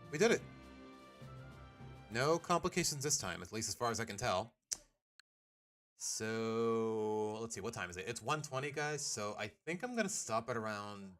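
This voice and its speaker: A man streams himself playing a video game and talks casually to his viewers. His voice sits at an ordinary pitch and he rambles, thinking aloud between moves. Soft music plays faintly behind him. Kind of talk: casual live-stream chat while playing.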